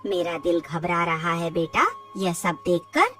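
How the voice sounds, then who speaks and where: A woman playing a poor, loving, worried mother in a cartoon, tender, earnest and expressive. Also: lowish voice, unhurried, distant mic, faint music behind. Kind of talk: cartoon moral-tale dialogue.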